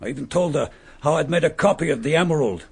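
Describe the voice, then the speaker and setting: A man's voice: fairly high pitch, fast talker, vocal fry, husky, exaggerated voice. An actor playing a bitter, mocking male murderer who posed as a lord, in a radio drama, speaking. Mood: sneering. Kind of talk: vintage radio drama acting.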